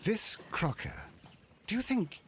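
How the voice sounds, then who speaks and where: An actor playing a cautious, worried companion to a detective in a video game. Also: male voice, sits high, lively intonation, slow, a little hiss or hum. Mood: concerned, doubtful.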